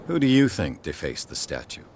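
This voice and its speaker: An actor playing a calm, dry and dogged male investigator in a video game. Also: low-pitched, moderate pace, slight background noise, full character voice.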